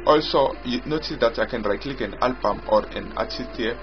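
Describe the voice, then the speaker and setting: A man giving an unscripted software walkthrough as its developer, explaining haltingly and repetitively in short phrases. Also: medium pitch. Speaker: software developer demonstrating own app. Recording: dull lo-fi audio with loud music. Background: loud music.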